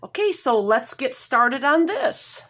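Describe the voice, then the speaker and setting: A woman with a somewhat high voice, a little hoarse from a cold, walks viewers through a knitting project. She talks slowly and homely, apologizing often and stopping to correct herself along the way. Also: very animated, a bit bright. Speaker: knitting tutorial host. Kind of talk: casual step-by-step craft tutorial.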